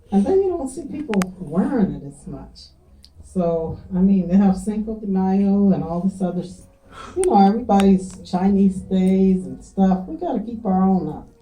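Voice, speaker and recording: Warm, dark-toned woman addressing a gathering. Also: lowish voice, roomy sound, rough recording. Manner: heartfelt, folksy and encouraging. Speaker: event host thanking guests. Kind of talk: casual speech to a gathering. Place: community event with guests.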